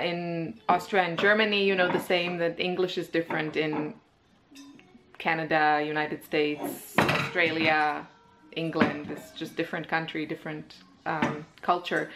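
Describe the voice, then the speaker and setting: Slow, hesitant woman vlogging. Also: low voice, fairly bright tone. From Israel. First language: Hebrew. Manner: slow, hesitant, many pauses and fillers. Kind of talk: casual personal chat to camera. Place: at home with her baby. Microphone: handheld compact camera.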